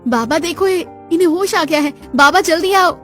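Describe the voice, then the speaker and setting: Woman doing a worried young village woman, pretty high voice, earnest story drama, light music. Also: moderate pace. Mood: excited. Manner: earnest, urgent, expressive. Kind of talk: dramatized moral story acting.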